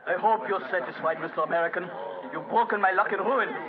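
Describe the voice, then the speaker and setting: An actor playing an agitated, superstitious, ruined gambler in an old-time radio drama. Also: male voice, high voice, quick pace, close to an everyday voice. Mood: bitter, desperate. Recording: muffled vintage radio, crowd chatter behind.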